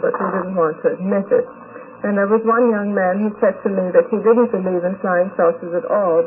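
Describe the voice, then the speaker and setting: A woman on a radio panel telling anecdotes conversationally, in a polite, halting manner. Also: medium pitch. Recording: tinny, very noisy 1950s radio recording.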